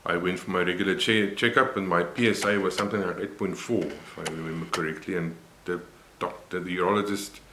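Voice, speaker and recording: Deep-voiced older Afrikaans-man. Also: fairly even intonation, sharp tone, echoey room, a little hiss or hum. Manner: unhurried, reflective, many pauses and fillers. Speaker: vlogger. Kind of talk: personal health vlog.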